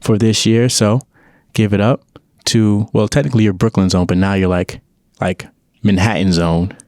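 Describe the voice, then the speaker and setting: A man's voice: lowish voice, steady tone, normal speed, a little mellow, dry recording, clean studio sound. A man hosting a podcast, chatting in a loose, laid-back way with streetwise slang. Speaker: podcast host. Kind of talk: casual interview chat. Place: indoor room.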